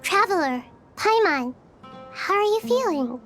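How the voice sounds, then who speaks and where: A woman playing a kind, wise young girl in a fantasy game, speaking softly in measured, articulate phrases. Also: very high-pitched, sing-song, faint music behind, heavily acted. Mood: earnest.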